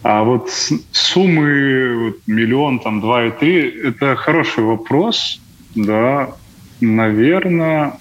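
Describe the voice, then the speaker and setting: A man's voice: medium pitch, lively intonation, slow pace. A young man chatting casually as a panel guest, hesitant and thinking aloud as he answers. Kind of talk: casual chat, answering questions. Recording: muffled, noticeably noisy sound.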